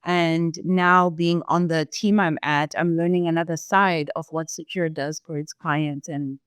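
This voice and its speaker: Young woman from Zimbabwe, interview guest, lowish voice, smooth and clear, dry close sound. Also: fairly even intonation, no echo. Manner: thoughtful, measured, with fillers. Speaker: sales employee, guest. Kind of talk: casual interview answers.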